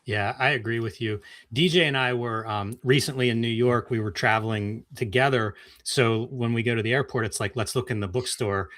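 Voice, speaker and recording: A man's voice: mid-range voice, fairly even intonation, brisk, few pauses, fairly bright tone, no echo. Middle-aged male interviewer, mid-range voice, dry close recording, thoughtful conversational delivery. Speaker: interviewer, co-host. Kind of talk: casual discussion.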